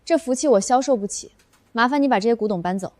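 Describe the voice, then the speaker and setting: A woman acts out a feisty young office assistant in an animated comedy drama. The voice is high and sarcastic, recorded dry and close. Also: fast talker, dry recording, close to an everyday voice. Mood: indignant. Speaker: actress in an animated short drama.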